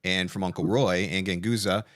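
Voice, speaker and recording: Man, deep low voice, live stream host, casual, conversational, very dry sound. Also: fairly even intonation, a little fast, fairly bright tone, no room sound. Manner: conversational, informal.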